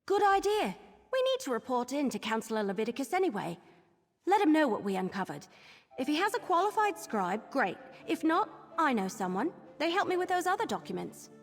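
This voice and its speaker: A woman's voice: high voice, a little fast, big-room sound. A woman voicing an eager, chatty, perky female Wood Elf investigator in a video game. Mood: excited, bubbly. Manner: perky, expressive.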